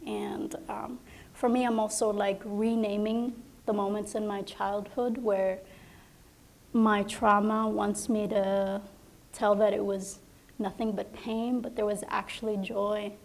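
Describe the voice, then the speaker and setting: A woman from Somalia, a playwright on a panel, speaking softly and haltingly with many fillers as she reflects. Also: medium pitch, level tone, vocal fry, rough recording. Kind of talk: reflective personal sharing.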